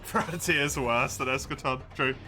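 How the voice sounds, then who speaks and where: A man's voice: mid-range voice, measured pace, bright, forceful tone. An English man chatting casually with his live-stream viewers, laughing often, mocking himself and swearing easily. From England. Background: music.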